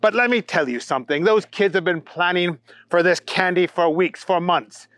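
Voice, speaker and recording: A man's voice: high-pitched, moderate pace, fairly bright tone, no room sound, voice pushed up. A man voicing a fussy, pompous, indignant male Easter Bunny in a children's story, with prim and formal lecturing. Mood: exasperated, lecturing.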